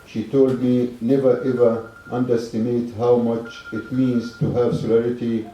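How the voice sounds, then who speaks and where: A man hosting a meeting, reading messages aloud in a halting, measured way. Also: medium pitch, little pitch movement, dark tone, a little hiss or hum. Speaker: event host. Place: meeting hall. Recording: echoey hall from far off.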